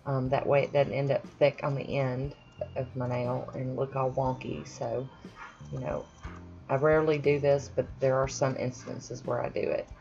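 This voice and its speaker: A woman's voice: a bass voice, little pitch movement, moderate pace, dark tone, highs cut off, faint music behind. A woman chatting casually over her own nail painting, self-deprecating with friendly asides. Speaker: nail art vlogger. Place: home manicure station, voice-over.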